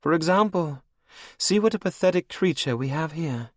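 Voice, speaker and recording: A man's voice: fairly high pitch, expressive, moderate pace, a bit bright, no room sound, clean studio sound, pitched above the performer's own voice. A man voicing a cruel, regal female vampire queen who speaks sweetly and mockingly. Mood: mocking command.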